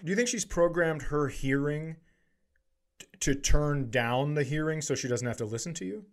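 A man's voice: fairly high pitch, normal speed. A man bantering casually as a comedy podcast co-host, with a teasing, goading way of talking.

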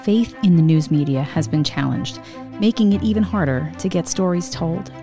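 A woman with a very low voice reads a short podcast promo in a calm, earnest way. Clear music plays under her voice. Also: moderate pace.

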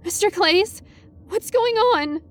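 A woman voicing an anxious, frightened teenage schoolgirl with urgent, squeaky delivery in a dramatic story. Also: animated, takes it slowly, heavily acted. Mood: squeaky, terrified. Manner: urgent, frightened, breathless questioning. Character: panicked teenage schoolgirl, anxious, escalating to hysteria.

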